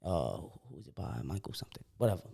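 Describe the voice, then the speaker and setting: A man's voice: deep voice, fast, vocal fry, slightly rough. Slangy young man telling stories animatedly. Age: 20s. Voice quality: repeats words when starting sentences. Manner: animated storytelling, slangy, often acts out quotes. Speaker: podcast co-host. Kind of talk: casual chat.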